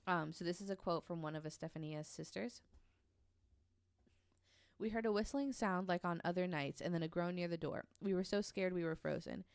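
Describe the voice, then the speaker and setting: A woman's voice: fairly low pitch, normal speed, clean tone, dry recording, clean studio sound. A woman telling a true story casually on a podcast, reading quotes aloud in an informal, hesitant way.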